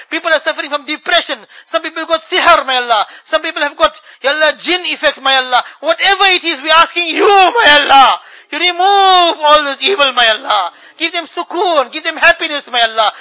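Very high, bright-voiced man praying fervently. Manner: pleading, ends each plea with a refrain. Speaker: imam leading supplication. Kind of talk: fervent spoken prayer. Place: mosque.

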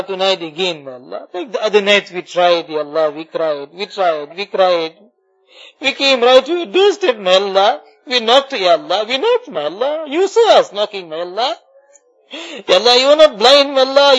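A man leading an impassioned supplication, pleading tearfully and repeating his phrases with deep emotion. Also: poor audio. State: tearful, crying. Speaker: prayer leader. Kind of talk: impassioned supplication prayer, public. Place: mosque.

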